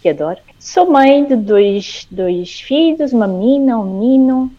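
A woman from Angola tells the story of a trip as a podcast guest. She speaks hesitantly and repeats words, with small giggles. Her voice is mellow, and the audio sounds muffled and dry. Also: a little high, lively intonation, measured pace, dry recording. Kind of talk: casual interview, telling a travel story.

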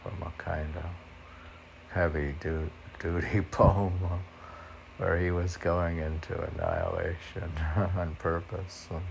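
A man's voice: low voice, vocal fry, slight background noise. A man informally reading his own poetry and musing aloud about it, often questioning himself as if in conversation. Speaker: poet reading and commenting on own poems.

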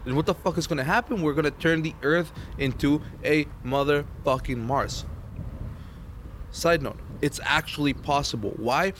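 A man in casual podcast chat, swearing often and explaining with rising excitement. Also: on the high side, normal speed, slight background noise. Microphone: shared podcast microphone.

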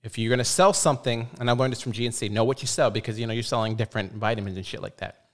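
A man's voice: medium pitch, steady tone, very fast. Blunt, opinionated man in casual chat.

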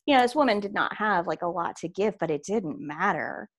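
A woman's voice: mid-range voice, expressive, brisk. A woman in casual conversation, reflective and chatty, trailing off with fillers.

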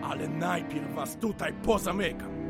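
A man's voice: a little high, a little slow, music in the background, close to an everyday voice. An actor playing a violent, abusive and menacing drunken husband and father in an audio drama. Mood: furious, threatening. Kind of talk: Polish audio drama acting.

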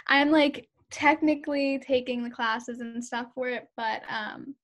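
A woman's voice: sits high, fairly even intonation, a little slow, a bit bright. A young woman co-hosting a podcast over a video call, casual and giggly. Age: young adult. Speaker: podcast co-host.

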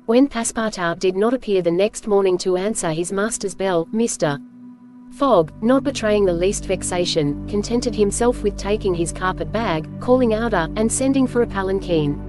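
A woman reading scripted storybook narration as host, with measured, clear phrasing. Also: mid-range voice, darkish tone, soft background music.

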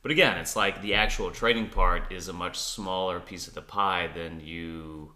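A man's voice: deep voice, normal speed, sharp tone. A man co-hosting a podcast, chatting casually and dropping dry jokes. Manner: dry joking asides. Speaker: podcast co-host. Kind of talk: casual chat.

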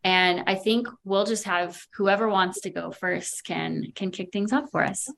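A woman's voice: medium pitch, measured pace, gravelly, a bit bright, slight background noise. A woman hosting a panel, giving brief, encouraging handoffs. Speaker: host. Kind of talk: moderating a panel.

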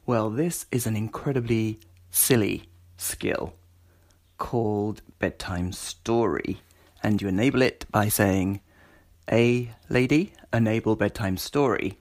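Guy hosting a podcast, really slow talker, gravelly voice, informal demo. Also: fairly low pitch, darkish tone. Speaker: podcast host. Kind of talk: informal spoken demo of a voice assistant skill.

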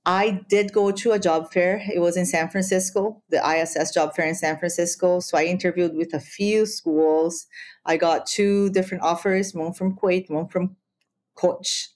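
A woman's voice: fairly low pitch, fairly even intonation, normal speed, darkish tone. A woman who grew up in Brazil with Spanish as her first language, telling her story as a guest in a casual interview, chatty and full of fillers.

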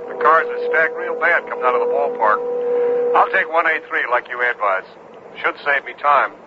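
An actor as a clipped trucker through heavy noise. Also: male voice, medium pitch, moderate pace, natural voice. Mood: brisk, matter-of-fact. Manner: clipped radio procedure. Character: businesslike male trucker on CB radio. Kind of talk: radio drama acting. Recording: muffled CB transmission, loud noise.

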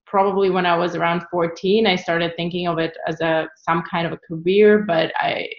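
A woman's voice: fairly low pitch, normal speed, roomy sound, highs cut off. A woman in her thirties from Ukraine, answering interview questions casually with plenty of fillers and restarts. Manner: relaxed, frequent fillers and restarts. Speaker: guest, travel photographer.

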